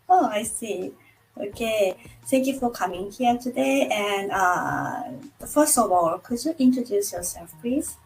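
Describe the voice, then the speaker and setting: A woman hosting an interview over a video call, asking her questions slowly, carefully and politely. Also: mid-range voice, expressive, darkish tone, low-quality recording. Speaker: interview host. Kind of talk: casual interview chat.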